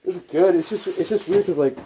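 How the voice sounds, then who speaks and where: A man's voice: high voice, brisk, warm tone. A young man who is an amateur boxer, in casual, sweary, giggly banter. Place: basement used as makeshift boxing gym. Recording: noisy basement, mic clicks.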